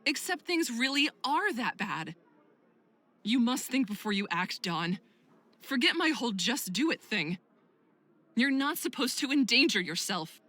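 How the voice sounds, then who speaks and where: A woman's voice: fairly high pitch, very animated, unhurried, sharp tone, full character voice. A woman playing a protective, serious friend and mentor in a visual novel game, speaking in an earnest, admonishing way. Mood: stern warning.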